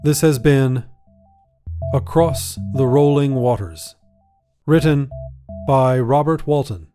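A man narrating a scripted short story as a reader, in a measured, literary delivery. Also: mid-range voice, mellow, soft background music.

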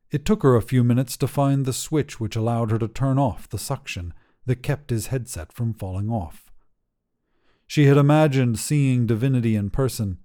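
A man narrating a scripted short story in a measured, dramatic storytelling manner. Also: on the low side, dark tone. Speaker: fiction podcast narrator.

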